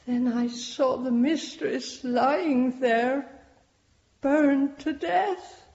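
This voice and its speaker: An actress playing a humble, anxious older Victorian maidservant giving testimony in a radio drama. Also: female voice, high voice, drawn-out words, darkish tone, full character voice. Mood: shaken, sorrowful. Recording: echoey courtroom, slightly muffled.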